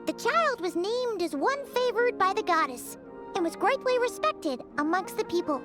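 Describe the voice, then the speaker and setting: A woman voicing a small, childlike fairy companion in an anime game, expressive, squeaky and blunt. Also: normal speed, music in the background. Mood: reading aloud. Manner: exclamatory, expressive.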